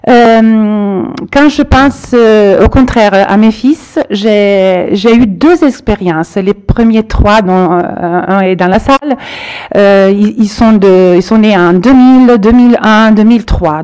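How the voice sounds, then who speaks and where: A middle-aged woman from the Aosta Valley gives a personal testimony before a panel and audience. She is a primary school teacher. She speaks in a reflective, halting way. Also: medium pitch, darkish tone, clean tone. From Aosta Valley, Italy. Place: public hall with audience.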